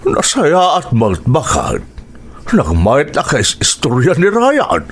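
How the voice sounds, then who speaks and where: A man playing a lively older village husband. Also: mid-range voice, slow pace, husky, exaggerated voice. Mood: chatty. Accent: Ilocano. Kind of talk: radio drama acting.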